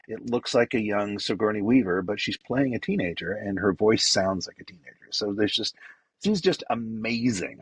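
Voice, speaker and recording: A man with a low, husky voice hosts a fan podcast, piling praise into casual shout-outs. His delivery is effusive and rambling, padded with fillers. The sound is dry and close, with no room around it. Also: no room sound, poor audio. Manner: effusive and enthusiastic, loose with fillers. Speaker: podcast host.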